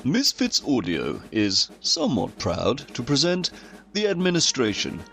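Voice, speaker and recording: A man's voice: medium pitch, huge pitch swings, a little slow, creaky. A man reading a tongue-in-cheek scripted introduction as the announcer of an audio drama. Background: music.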